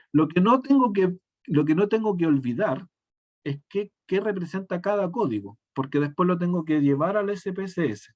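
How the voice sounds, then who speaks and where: A male teacher, walking through a software demonstration step by step and often restarting his sentences mid-thought. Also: a little high. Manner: step-by-step explaining, frequent self-corrections and restarts. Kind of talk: screen-share software tutorial.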